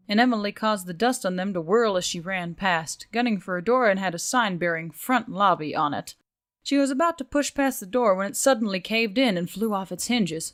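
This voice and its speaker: A woman's voice: mid-range voice, a bit bright. A woman reading scripted story narration as the narrator, with music behind her.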